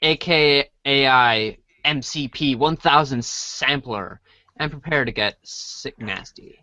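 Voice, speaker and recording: A man's voice: mid-range voice, slow, bright, forceful tone, dry recording, lo-fi sound. A man narrating a scripted read-along storybook in the second and third person.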